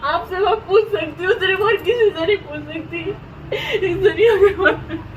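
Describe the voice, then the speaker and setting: Young woman, very high pitch, tearful and pleading, noisy location sound. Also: normal speed, lo-fi sound. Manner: pleading, repeats anguished questions. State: crying, distraught, sobbing. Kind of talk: emotional outpouring to camera and to God.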